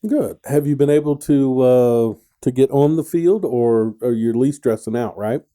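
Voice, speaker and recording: A middle-aged man hosting a relaxed radio chat with a very dark, mellow tone. He rambles in a friendly way and jokes, restarting his sentences often. His pitch sits in an ordinary middle range. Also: normal speed, clean tone. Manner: rambling, joking, many restarts. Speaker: radio show host. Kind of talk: casual radio interview.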